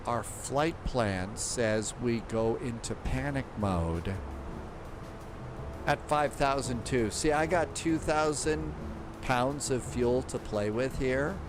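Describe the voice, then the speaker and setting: A man's voice: medium pitch, slow pace, noisy background. A man hosting a live flight-sim stream, reading viewer comments and rambling in a casual, thinking-aloud way. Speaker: livestream host. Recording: clear background music.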